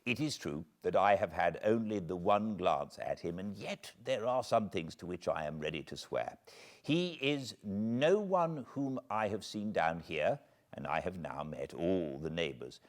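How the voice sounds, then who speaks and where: A British man reading a classic novel aloud as an audiobook narrator, in a measured, expressive storytelling manner. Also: low-pitched. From Britain. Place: cozy spot by a fireplace at home.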